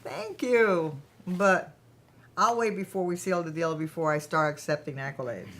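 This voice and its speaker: A woman's voice: fairly low pitch, moderate pace, fairly bright tone, close to an everyday voice. A woman in a staged play reading playing a gracious, shrewd company founder. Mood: gracious, pleased. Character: self-assured businesswoman, company founder, gracious but shrewd.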